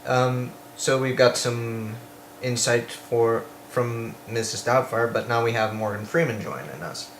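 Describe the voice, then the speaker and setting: A man's voice: mid-range voice, measured pace. A man casually interviewing guests as the host of a comedy skit. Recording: echoey room with faint background noise.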